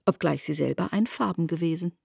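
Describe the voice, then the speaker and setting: A woman performing as a composed narrator in a literary radio drama, speaking in a measured, formal and deliberate way. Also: fairly low pitch, gravelly, darkish tone, breathy edge, no room sound, studio quality, heavily acted.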